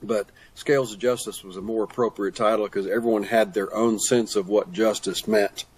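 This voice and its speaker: A man's voice: on the low side, steady tone, normal speed, darkish tone, slightly rough. An older man answering questions as a guest author in a conversational interview. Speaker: guest author, retired pastor and teacher.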